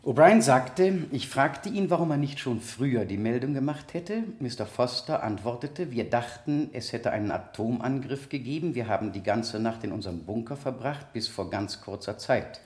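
Actor as insistent examiner in a roomy courtroom sound. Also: male voice, medium pitch, expressive, darkish tone, natural voice. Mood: probing, polite. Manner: deliberate, insistent, formally polite questioning. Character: probing male courtroom examiner, persistent and methodical. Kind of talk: 1970 German radio play acting.

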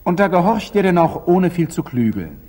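Proud, husky knight, animated pitch. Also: male voice, moderate pace, exaggerated voice. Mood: wry. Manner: clipped, theatrical, wry. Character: proud, curt, sardonic young knight templar. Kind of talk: 1940s German radio stage acting.

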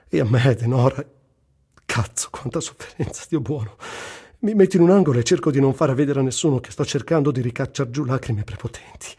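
Husky, earthy war-zone journalist. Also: male voice, mid-range voice, darkish tone. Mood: anguished, hushed. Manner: dramatic literary recitation with blunt colloquial asides. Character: Italian journalist volunteer in war, earthy, tender, wry. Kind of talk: first-person narration in a radio reading.

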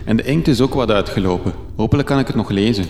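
A man with a Flemish accent playing a fussy, excitable young reporter on an adventure in a radio drama. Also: lowish voice, quick pace, vocal fry. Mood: hopeful. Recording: echoey cave reverb, noisy ambience.

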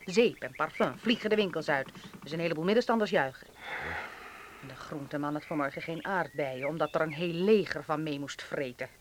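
A male actor as a sardonic, principled patriot in a wartime radio play. The voice is fairly high for a man, biting and indignant, with faint noise on the recording. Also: brisk, natural voice. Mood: bitter sarcasm. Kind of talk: Dutch radio drama acting.

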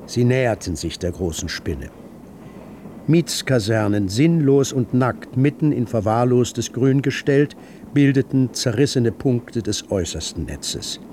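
A man's voice: medium pitch, animated, measured pace, a little mellow, a little hiss or hum, natural voice. A man performing as a grave literary narrator in a radio drama. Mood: grave narration.

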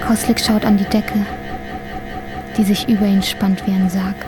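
A woman's voice: mid-range voice, little pitch movement, normal speed, music in the background, natural voice. A woman narrating a radio drama as a detached storyteller, sober and literary in manner. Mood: detached, ominous. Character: detached female narrator of an unsettling hospital story.

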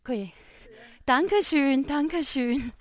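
A woman talking spontaneously as she walks, halting and repeating her phrases. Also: high voice.

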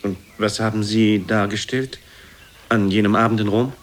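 An actor playing a vain poet reproving his mother with measured formality, in radio play style. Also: fairly low pitch, fairly even intonation, natural voice. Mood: politely condescending. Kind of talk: German radio play acting.

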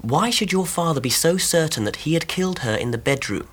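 Husky, logical young Englishman. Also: on the high side, expressive, fairly bright tone. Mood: reasoning. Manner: reasoned, deliberate, well-spoken. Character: logical, concerned young English husband, methodical amateur investigator. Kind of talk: radio drama acting.

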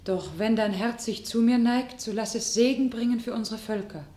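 A woman's voice: a little high, normal speed, warm tone, roomy sound, close to an everyday voice. A woman playing a defiant, devout young captive warrior maiden in a classical radio drama, speaking in solemn declamation. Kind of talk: classical German stage-style radio drama.

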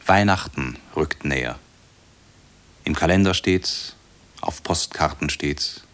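The male narrator of a literary radio drama. His voice is deep and low. He observes the scene and describes it in measured phrases. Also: fairly bright tone, heavily acted. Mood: observant, sober. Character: observant male storyteller of a Christmas street scene.

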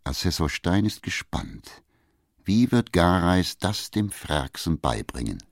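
A male narrator for a radio drama with a low voice. The sound is close and dry, and he reads scripted storytelling prose. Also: very animated, slow pace, creaky, no room sound.

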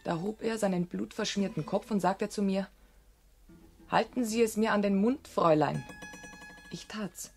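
A woman's voice: medium pitch, moderate pace, gravelly, pauses often, faint music behind, natural voice. An actress playing a proud, disdainful young woman with a cool, forthright manner in a radio play. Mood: wistful recollection. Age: young adult. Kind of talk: German radio play acting.

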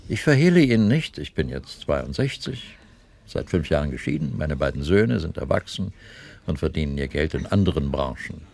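A man's voice: rumbling low, sing-song, normal speed, creaky, a little mellow, heavily acted. An older actor in a German radio play, playing a gallant, self-assured financier in his sixties with formal manners. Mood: earnest wooing. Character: courtly, self-assured financier of sixty-two, wooing a younger woman.

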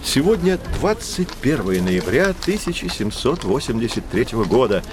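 An actor playing a knowledgeable, patient guide who teaches children in an educational audio drama, with a didactic, emphatic delivery. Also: male voice, mid-range voice, very animated, normal speed, crowd noise, lightly acted. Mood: enthusiastic, explanatory.

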